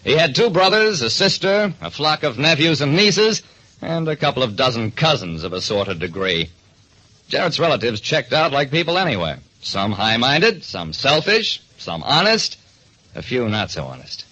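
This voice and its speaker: Animated, husky American detective narrator. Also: male voice, mid-range voice, normal speed. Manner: dramatic, hard-boiled. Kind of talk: old-time radio detective narration. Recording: dull, band-limited recording.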